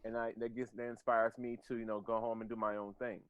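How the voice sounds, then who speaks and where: Fast-talking American man in his forties, informal. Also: mid-range voice, level tone, clean tone, no echo. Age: 40s. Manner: informal, peppered with "you know". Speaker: podcast guest, bartender, designer and teacher. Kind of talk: casual interview chat.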